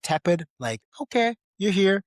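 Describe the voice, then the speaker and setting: A man's voice: sits high, very animated, a little slow, no room sound, studio quality. A man co-hosting a podcast, chatting casually and playfully about film in an informal way. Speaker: podcast host.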